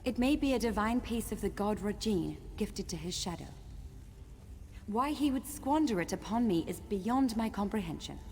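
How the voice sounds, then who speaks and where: A woman playing a mannered, yearning captive in a fantasy video game, speaking in a formal, measured, wistful way. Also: mid-range voice, lively intonation, a little mellow, close to an everyday voice. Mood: measured, explaining. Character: captive noblewoman trapped long in an icy prison, mannered, yearning. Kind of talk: video game character acting. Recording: music behind the voice.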